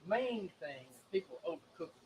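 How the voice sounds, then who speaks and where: A man co-hosts in an easygoing way, his high voice swinging up and down. Faint background noise runs under him. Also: animated, a little fast, a little mellow, poor audio. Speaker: co-host. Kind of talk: casual chat.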